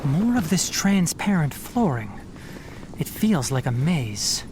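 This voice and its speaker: A man voicing a young forest ranger scholar in a video game, explaining things calmly and at a measured pace. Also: fairly high pitch, noisy background.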